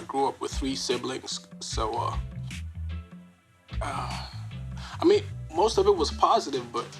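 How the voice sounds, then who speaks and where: A man from Ghana, a podcast guest, telling stories in a laid-back way and laughing often. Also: medium pitch. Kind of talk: casual interview chat.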